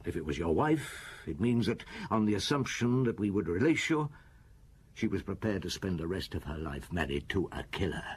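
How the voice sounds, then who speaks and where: An actor playing a shrewd middle-aged police chief in a radio drama, interrogating a suspect in an unhurried, cutting way with a husky voice. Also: male voice, low voice, big pitch swings, slight background noise. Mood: probing.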